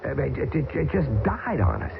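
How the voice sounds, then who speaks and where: A man's voice: mid-range voice, wildly swooping pitch, normal speed, vocal fry, full character voice. An actor playing an exasperated American airman stranded in the arctic, impatient, stammering and sarcastic. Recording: tinny, hissy old radio broadcast.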